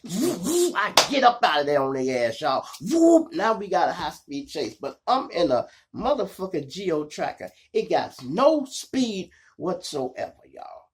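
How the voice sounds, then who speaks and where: A man with a Chicago accent and a gravelly voice, hosting a show and telling street stories in slangy, animated style, acting out the dialogue. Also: high voice, a little slow, few pauses, a little hiss or hum. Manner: animated, slangy, addressing listeners as y'all. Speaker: online show host and storyteller. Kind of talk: casual street storytelling with acted-out dialogue.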